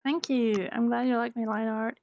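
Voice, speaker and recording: A woman's voice: on the high side, normal speed, creaky, lo-fi sound. A woman from Norway, a native Norwegian speaker, chatting casually with viewers during a live drawing stream, trailing off with fillers as she works. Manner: relaxed, reads chat aloud, trails off with fillers while concentrating. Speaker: art streamer.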